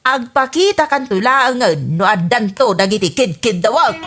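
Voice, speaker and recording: A woman's voice: expressive, normal speed, fairly bright tone, faint music behind. A woman with an Ilocano accent acting in a radio comedy drama, scolding and demanding. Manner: scolding and demanding, pitch swooping up when indignant. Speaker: voice actress in an audio comedy drama. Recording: radio drama recording with some faint reverb.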